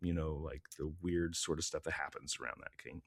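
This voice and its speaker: A man's voice: very deep voice, steady tone, fairly quick, breathy edge, no room sound, studio quality. A man hosting a casual interview podcast in an informal way, his voice stuffy and congested. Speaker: podcast host.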